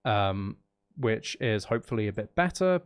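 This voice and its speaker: A man's voice: on the low side, expressive, moderate pace, clean tone. Guy streaming a game, casual and playful, kinda talking to chat and himself. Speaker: streamer and channel host.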